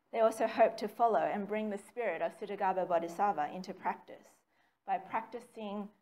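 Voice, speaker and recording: A woman's voice: mid-range voice, a little mellow, poor audio. Woman reading a talk from notes. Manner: measured, reads from notes with occasional ums. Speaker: speaker giving a dharma talk. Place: small meeting room with a slide screen. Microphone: far camera, no mic seen.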